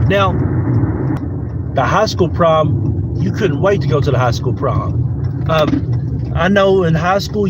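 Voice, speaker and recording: A man's voice: a little high, dull audio, noisy background. A man in his thirties from the United States, hosting a casual solo podcast and rambling through his points with many fillers and restarts. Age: 30s. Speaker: podcast host.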